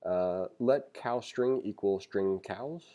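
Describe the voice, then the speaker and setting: A man with a fairly low, very mellow and relaxed voice leads a read-along lesson. He sounds like a teacher, conversational and a little wry as he moves between reading and commenting. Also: slow. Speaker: teacher reading a programming textbook aloud with commentary.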